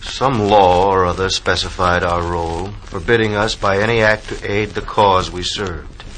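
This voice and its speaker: A restless, wistful young army medic in a 1940s radio play. The voice is low with a tense, bright edge, over the faint noise of an old recording. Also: male voice, fairly even intonation, moderate pace, low-quality recording. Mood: solemn, reflective. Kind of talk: 1940s radio drama acting, verse narration.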